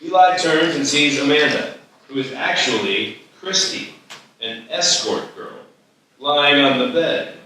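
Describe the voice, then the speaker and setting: A man's voice: a little high, a little slow, bright, forceful tone. A man reading stage directions as scripted narration at a live screenplay table read. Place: live festival reading in an echoey room. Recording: echoey hall, distant mic, faint noise.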